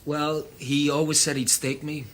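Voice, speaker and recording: An actor playing a restless grown son, defensive and hesitant, in a stage drama style. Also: male voice, fairly high pitch, level tone, measured pace, a bit bright. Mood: guarded. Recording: faint background noise.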